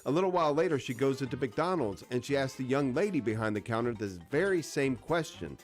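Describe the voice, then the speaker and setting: A man's voice: mid-range voice, lively intonation, brisk. A man co-hosting a radio show, telling jokes in a casual, chatty style. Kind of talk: casual banter and joke-telling. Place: radio studio. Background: music bed.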